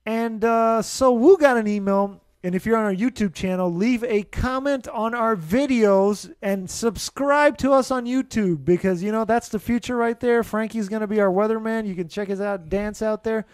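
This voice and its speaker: A man's voice: high-pitched, normal speed, hardly any pauses, clear, resonant tone, dry recording. A man in his thirties hosting a podcast with casual banter, close on a studio microphone. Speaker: podcast host. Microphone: close studio microphone on boom arm.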